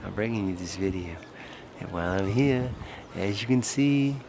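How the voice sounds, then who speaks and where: A man's voice: fairly low pitch, moderate pace, creaky. A man in his fifties vlogging a jokey travel video outdoors, half whispering because he is losing his voice, goofy and self-mocking. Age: 50s. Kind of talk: jokey travel vlog to camera. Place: outdoors on a windy island coast. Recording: heavy wind noise on the mic.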